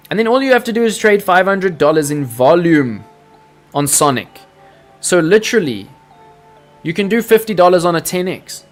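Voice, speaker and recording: A man hosting a live crypto trading stream, talking to his chat in a hyped, salesy way. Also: on the high side. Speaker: crypto trading livestream host.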